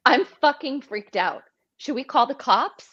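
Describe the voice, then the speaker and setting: Lively, spooked young camp counselor read by a woman. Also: high voice, measured pace, highs cut off, full character voice. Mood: freaked out. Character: spooked, foul-mouthed young female summer camp counselor. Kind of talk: live amateur table read of a horror script.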